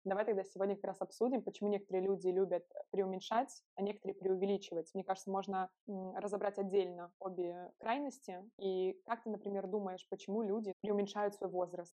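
A woman's voice: mid-range voice, little pitch movement, fast talker, dark tone, no echo. A woman co-hosting a podcast in casual conversation, inquisitive and steering the discussion. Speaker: podcast co-host.

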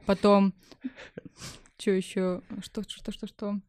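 A woman's voice: medium pitch, level tone, slow, a little mellow, smooth, no echo. A woman chatting casually as a podcast guest, informal and thinking aloud as she goes. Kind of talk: casual chat.

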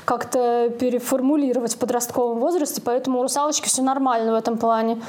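A woman's voice: fairly high pitch, steady tone, fast, darkish tone, slight background noise. A woman co-hosting a podcast, talking in an informal, opinionated, slangy way in casual discussion. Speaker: podcast co-host.

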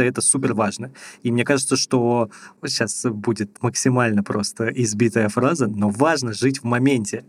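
Male podcast co-host, a bit husky, close dry recording, casual reflective delivery. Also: mid-range voice, no room sound.

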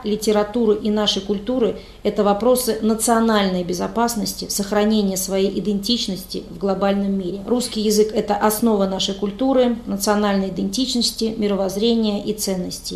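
A woman official delivers a formal statement in stiff, official wording. Her voice is gravelly and mid-pitched, and it echoes as if she were in a large room. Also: a little mellow.

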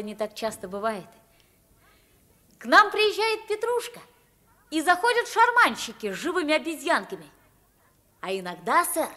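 Young beggar boy, high bright voice, very animated intonation, respectful, eager, radio drama. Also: full character voice. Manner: polite and deferential, animated when describing games. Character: poor young London street boy, deferential, lively, eager. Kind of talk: radio play acting. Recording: vintage 1950s radio play recording.

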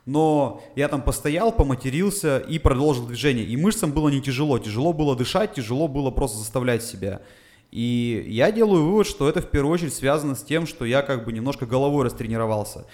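A man's voice: mid-range voice, lively intonation, fast, darkish tone. Man methodically explaining training science. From Urals, Russia. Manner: methodical explainer with filler sounds. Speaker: guest, fitness coach. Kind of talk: casual podcast conversation.

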